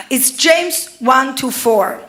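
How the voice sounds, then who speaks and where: A woman in her forties with an Italian accent tells her testimony at a church service. Her high, bright voice carries a lively storyteller's manner, and she laughs often as she talks. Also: very slow. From Italy. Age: 40s. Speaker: guest preacher. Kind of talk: personal testimony sermon.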